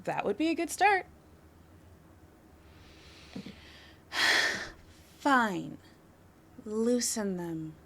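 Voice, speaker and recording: Slow, teasing, flirty female crime suspect acted by a woman. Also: mid-range voice, sing-song, creaky, fairly bright tone, full character voice. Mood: defiant, coy. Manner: teasing, confident, coy, drawn-out delivery. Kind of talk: flirtatious audio roleplay acting.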